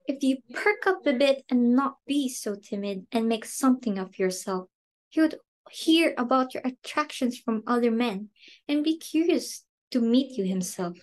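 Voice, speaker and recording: A status-obsessed hostess and mother acted by a woman with a fairly high voice. She is imperious and quick to anger, delivering her lines in exclamatory, indignant bursts, as in an amateur dramatic reading.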